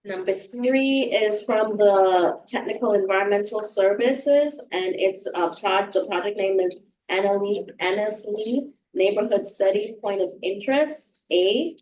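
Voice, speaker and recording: A woman's voice: medium pitch, measured pace, a little mellow. A woman with a Jamaican accent presenting contracts at a board meeting, hesitant and full of fillers as she reads out figures. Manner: hesitant, many fillers and restarts while reading out figures. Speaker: staff member presenting contracts. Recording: roomy, far from the mic.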